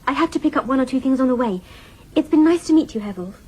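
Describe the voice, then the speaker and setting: An actress playing a lively, polite young shop assistant in a radio drama. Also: female voice, sits high, fast, a little hiss or hum, poor audio. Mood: polite, hurried. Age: young adult.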